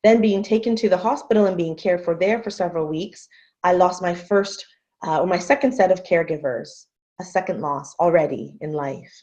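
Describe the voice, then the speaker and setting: A woman in her thirties from Canada giving an earnest, measured webinar talk as a guest speaker over a video call. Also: fairly low pitch, a little mellow. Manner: earnest, measured, repeats phrases for emphasis. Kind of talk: webinar presentation. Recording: muffled video call audio.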